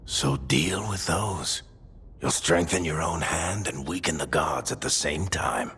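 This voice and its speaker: An actor playing a seasoned, wry rogue mentor in a video game, rough-voiced, folksy and fatherly in his advice. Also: a bass voice, measured pace, a bit bright, slight background noise, heavily acted. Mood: advising. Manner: wry, folksy gambling talk, reassuring. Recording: long reverberant hall.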